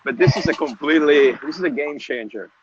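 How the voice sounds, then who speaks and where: A man whose first language is Italian, a music business lecturer talking as a guest, enthusiastic but halting with many fillers. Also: a little high, crowd noise. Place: Dublin, Ireland. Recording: dull lo-fi app call with faint noise.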